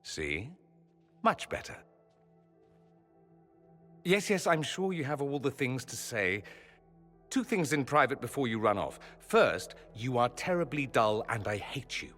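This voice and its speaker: A man's voice: medium pitch, very animated, measured pace, pauses often, a bit bright, faint music behind. A male actor playing a witty, theatrical, aristocratic mage in a video game, speaking with wry, eloquent irony. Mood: teasing affection.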